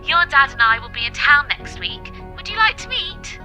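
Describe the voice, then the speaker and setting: A woman narrator voicing a shrill, prying, affectionate middle-aged mother in an audiobook. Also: big pitch swings, moderate pace, music in the background.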